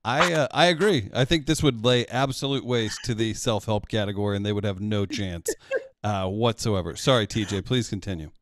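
A man, the show's producer, breaking in politely and briskly. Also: on the low side, no echo. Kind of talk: casual interjection.

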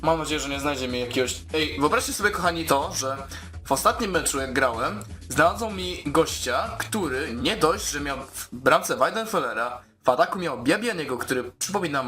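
An excitable man. His pitch swings widely as he exclaims and chats informally. Game music plays behind him. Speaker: gaming YouTuber. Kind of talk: casual gameplay commentary.

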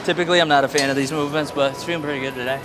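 A man's voice: on the high side, steady tone, racing. Upbeat young man vlogging in a gym. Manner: upbeat, coaching cues, casual. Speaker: fitness vlogger. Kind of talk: casual workout vlog narration and coaching. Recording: gym music playing behind. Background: music.

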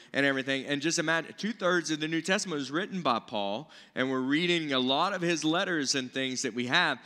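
Man, forties, pastor, bright forceful voice, conversational sermon, informal and explanatory, long hall reverb. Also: fairly high pitch. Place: stage of a church auditorium with seated audience. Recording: reverberant large-hall stage sound.

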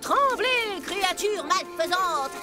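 A woman voicing a boastful, excitable little boy who plays superhero in a cartoon, grandiose and theatrical. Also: very high voice, slow, exaggerated voice. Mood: pompous. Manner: grandiose, self-important, theatrical. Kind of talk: animated cartoon acting. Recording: music behind the voice.

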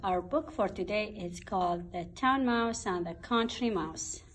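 A woman reading a children's story aloud like a teacher, in a deliberate, simple way. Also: medium pitch, husky. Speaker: teacher reading a picture book.

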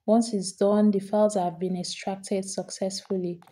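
A woman talking through a screen-recorded software tutorial, step by step, repeating each action as she does it. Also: mid-range voice, little pitch movement, normal speed. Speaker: tutorial host.

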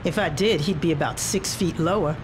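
A woman's voice: low voice, brisk, lightly acted. A woman playing a confident, wry undercover intelligence agent in a video game, speaking with composure. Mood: tense, bitter. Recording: loud music and vehicle noise behind.

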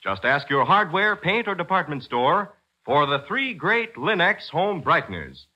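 A man's voice: fairly high pitch, very animated, a little slow, sharp tone, breathy edge, lo-fi sound. An American man announcing in scripted 1940s radio style, with a dramatic, emphatic and polished delivery. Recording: 1940s radio broadcast with music.

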